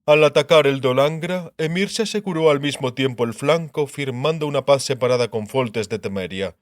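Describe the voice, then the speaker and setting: A man voicing an assured, sharp-minded king in an audiobook, lecturing on war in declarative phrases and rhetorical questions. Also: mid-range voice, moderate pace, no echo, clean studio sound, lightly acted. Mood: grave, emphatic. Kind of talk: dramatised audiobook dialogue in Spanish.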